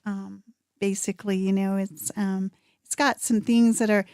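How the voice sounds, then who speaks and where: A woman's voice: mid-range voice, level tone, normal speed, darkish tone, no room sound, studio quality. A woman songwriter talking about her music as a guest on a radio show, chatty and hesitant with many "um"s. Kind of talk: casual interview chat. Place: radio studio.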